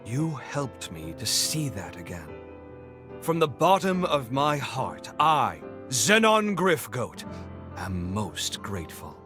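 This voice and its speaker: A flamboyant immortal nobleman from a video game, speaks with pompous, courtly grandeur. His voice swings widely in pitch and has a bright, forceful edge. He takes his time over his words, with music behind him. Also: wildly swooping pitch, slow pace, exaggerated voice. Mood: reflective, grateful. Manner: theatrical, pompous, courtly formal phrasing. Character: flamboyant, noble, centuries-old immortal man, grandiose and devoted. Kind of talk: video game character acting.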